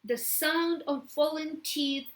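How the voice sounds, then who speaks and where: Female story reader, halting and very drawn-out delivery, recorded in an echoey room. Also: high voice. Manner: halting, stumbling over words. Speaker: author reading own book. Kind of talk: first-person story reading.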